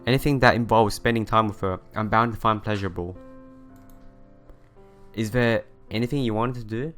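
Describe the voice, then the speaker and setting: A man hosting a let's play, reading visual novel narration aloud in an expressive storytelling manner. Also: lowish voice, normal speed. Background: background music.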